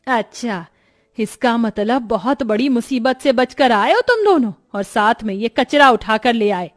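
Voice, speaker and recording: A woman's voice: on the high side, lively intonation, unhurried. A woman voicing an older, disapproving mother-in-law who talks bluntly, in a moral-story cartoon. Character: scolding older mother-in-law, worried grandmother.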